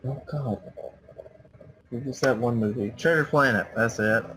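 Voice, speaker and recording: A man's voice: mid-range voice, measured pace, a bit bright, slight background noise. A man chatting casually as a co-commentator on gameplay, trading banter.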